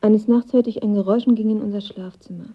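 A woman acting a guarded, vulnerable young woman in a radio play. Her mid-pitched voice is husky and hushed as she confides, and the recording is a little dull. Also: steady tone, quick pace, natural voice. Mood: hurt, confiding. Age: young adult. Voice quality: breathy, husky.

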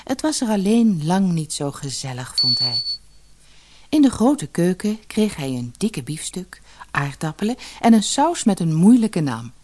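A woman reading a scripted children's fairy tale as an expressive, measured narrator. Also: lowish voice, slight background noise. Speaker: storyteller, narrator.

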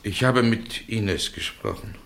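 An actor playing a troubled, hesitant man in a radio play, speaking in a halting, solemn, stylised way. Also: lowish voice, expressive, creaky, fairly bright tone, exaggerated voice. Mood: resigned. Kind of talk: classic radio play acting.

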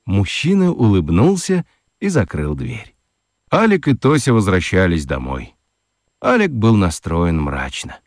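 Низкий мужской голос рассказчика, очень живой. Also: male voice, deep voice, wildly swooping pitch, dry recording, studio quality. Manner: deliberate, dramatic pauses. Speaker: narrator. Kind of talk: expressive scripted storytelling for children's radio play.

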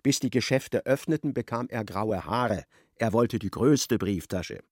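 A man narrating a radio play as literary storyteller, in a measured and wry manner. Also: lowish voice, animated, a little mellow, dry recording, clean studio sound.

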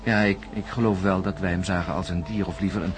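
An actor playing an embittered, suspicious astronaut in a science-fiction radio drama, emphatic and sardonic, with brooding hesitations. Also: male voice, deep voice, fairly even intonation, fast, close to an everyday voice. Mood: grave, insistent. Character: embittered, suspicious male astronaut insisting on his alarming sighting.

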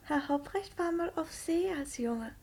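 A woman's voice: high voice, animated, measured pace, darkish tone. An actress playing a frail, sick young girl, trusting and pleading, in a 1950s radio play. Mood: curious, admiring. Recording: faintly noisy 1950s radio recording.

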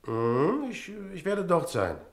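An actor in a radio drama playing a polite, probing man with a measured, guarded manner. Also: a little high, huge pitch swings, exaggerated voice. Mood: hesitant, friendly. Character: polite, probing man investigating his brother's death, Mr. Bristol.

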